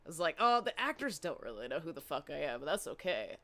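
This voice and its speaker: A woman's voice: low-pitched, sing-song, fast, a crowd in the background. A woman chatting casually in a playful, rambling, sweary way. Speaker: casting director. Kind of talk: casual chat.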